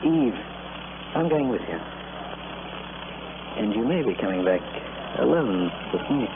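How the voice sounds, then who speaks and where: Suave scheming lover on crackly old radio. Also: male voice, medium pitch, lively intonation, measured pace. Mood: scheming, insinuating. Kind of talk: old-time radio drama acting. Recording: tinny, very noisy vintage radio recording.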